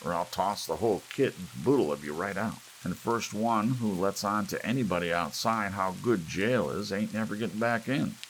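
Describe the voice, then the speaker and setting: A man voicing a gruff jailer making folksy threats, in an audiobook reading. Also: on the low side, moderate pace, hardly any pauses, voice pushed up, natural voice, rough recording. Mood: gruff threatening. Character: gruff, threatening jail turnkey. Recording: steady rain and hiss behind.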